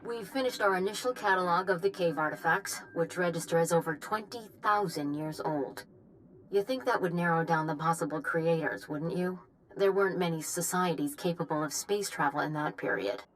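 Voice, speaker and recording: A woman's voice: lowish voice, moderate pace, natural voice, rough recording. A woman playing a dry, impatient scientist dictating a research log in a game, matter-of-fact and a little disdainful. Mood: brisk, curious.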